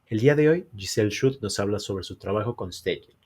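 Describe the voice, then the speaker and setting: Deep, husky-voiced man hosting. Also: expressive, brisk, darkish tone. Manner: brief acknowledgements, friendly questions. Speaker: podcast host. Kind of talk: scripted intro and casual interview.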